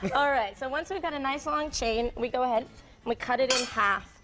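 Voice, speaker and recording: A woman's voice: high-pitched, a little fast, over a noisy crowd. A woman cooking on a TV studio set, explaining each step in an upbeat, hands-on way. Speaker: guest cook. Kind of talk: cooking demonstration on live TV. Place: TV studio kitchen.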